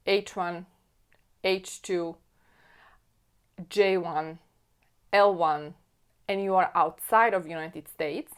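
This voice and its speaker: A woman from Slovakia with a Slovak accent, an immigration attorney talking straight to camera and repeating her key points earnestly. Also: fairly low pitch. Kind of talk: explainer video to camera.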